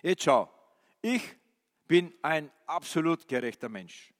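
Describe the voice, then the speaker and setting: A man's voice: on the high side, lively intonation, slow pace. A non-native German-speaking preacher playing a smug, self-righteous male Pharisee who boasts in prayer. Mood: boastful. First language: not German. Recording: reverberant hall.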